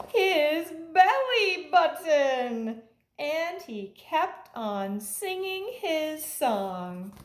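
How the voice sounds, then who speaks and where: A woman reading aloud to young children like a teacher, in an animated way with simple phrasing addressed straight to them. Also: high-pitched, drawn-out words. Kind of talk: children's read-aloud, with sung refrains and asides to listeners. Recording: echoey room with faint background noise.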